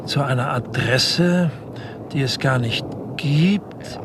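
A man's voice: medium pitch, slow pace, vocal fry. An actor playing an eager, deferential yet grumbling detective's assistant in a 1960s German radio crime drama, often hesitating as he thinks aloud. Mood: puzzling it out. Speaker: detective's subordinate. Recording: noisy old radio play recording.

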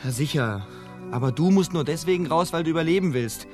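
An actor playing a naive, excitable young prince in a fairy-tale radio play, earnest and melodramatic. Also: male voice, fairly high pitch, brisk, lightly acted. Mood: earnest, eager. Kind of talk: German radio play acting. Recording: studio radio play with music behind.

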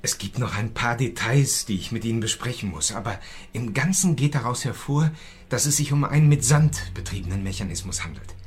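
Actor as earnest, restless male scholar, medium pitch. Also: lively intonation, a little fast, natural voice. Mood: explaining. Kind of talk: radio drama acting.

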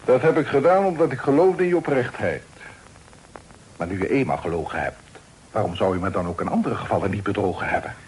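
A man's voice: lowish voice, animated, fast, a little mellow. A man playing a stern, suspicious father and magistrate in a Dutch radio drama, speaking in a formal, reproachful way. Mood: stern, indignant. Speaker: radio play actor. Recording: faintly noisy old radio play recording.

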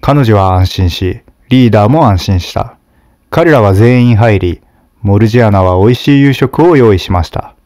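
A man narrating a fairy tale in a steady, measured scripted reading. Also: fairly low pitch, no echo, clean studio sound.

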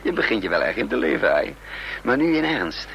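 A man's voice: fairly low pitch, very animated, a little fast, gravelly, fairly bright tone, lo-fi sound, heavily acted. An actor playing a resourceful, wry and composed man on the run who narrates his own story in a Dutch radio play. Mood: wry, confiding. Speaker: narrator and lead character. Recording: noisy old radio drama recording.

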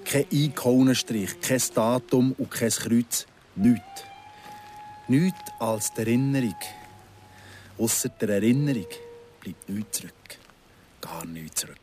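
A man's voice: medium pitch, a little slow, long pauses, a little mellow, slight background noise, close to an everyday voice. An actor playing a brooding, haunted man who muses in clipped, repeated phrases, in a Swiss German radio drama monologue. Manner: musing, repetitive, clipped phrases.

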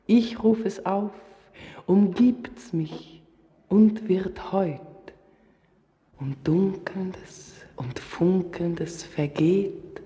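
A woman playing an ancient, yearning spirit in a poetic radio drama, speaking in a hushed, solemn incantation. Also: on the low side. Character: ancient otherworldly spirit, yearning, majestic and possessive. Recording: long reverberant hall.

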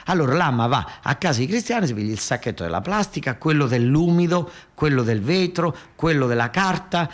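A man's voice: on the high side, expressive, brisk, few pauses. A man with a southern Italian accent performing a theatrical narrative monologue in a colloquial, emphatic, repetitive way. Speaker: actor-storyteller.

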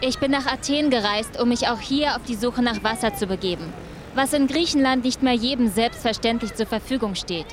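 Woman acting probing reporter, fairly high voice, composed scripted narration, interviewing, radio play, background music. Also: fairly quick, lightly acted. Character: inquisitive woman reporter narrating her investigation.

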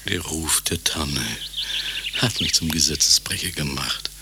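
A man's voice: extremely low pitch, measured pace. A man in a radio play acting a wistful forester who loves his trees, savouring his phrases theatrically. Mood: wistful, confiding. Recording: clear background noise, ambience.

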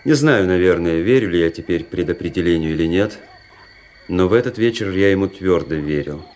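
An actor playing a weary, cynical young army officer who narrates a radio drama in a measured, introspective way. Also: male voice, deep voice, a little mellow, natural voice. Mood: brooding, weary. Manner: introspective, world-weary, measured literary delivery. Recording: music under the voice.

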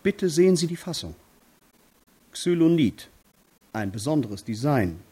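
An actor playing a fussy, self-assured optician in a radio play, talking in clipped, precise phrases. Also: male voice, on the high side, very animated, measured pace, mellow, breathy edge, exaggerated voice. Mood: proud, certain. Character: fussy, self-assured male optician proud of his wares.

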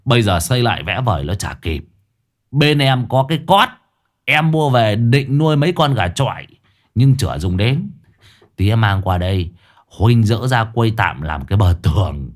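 A man's voice: on the low side, big pitch swings, normal speed. A man with a Northern Vietnamese accent voicing a smug, glib middle-aged village man who teases as he talks. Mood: smug teasing. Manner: teasing, glib, laughing.

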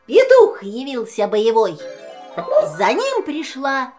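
A woman's voice: high-pitched, wildly swooping pitch, takes it slowly, over music. A woman narrating a children's verse tale in a storybook style.

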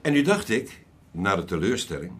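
An actor in a radio play as a courteous, wealthy male host, speaking formally and deliberately with curiosity. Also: fairly low pitch, sing-song, a little hiss or hum. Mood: gracious. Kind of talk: radio drama acting.

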